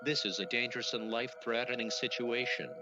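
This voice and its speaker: A man's voice: medium pitch, fairly even intonation, brisk. A synthetic male text-to-speech voice reading a scripted emergency alert in a formal, mechanical way. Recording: lo-fi audio with music behind.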